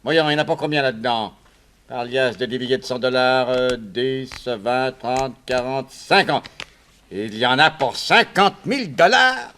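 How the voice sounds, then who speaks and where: A man's voice: medium pitch, unhurried, pushed and bright. A man playing a blustering, impatient police inspector in a radio drama, brusque, sarcastic and officious. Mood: gruff, suspicious. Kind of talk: 1960s French radio crime drama acting. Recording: noisy vintage radio recording, clicks.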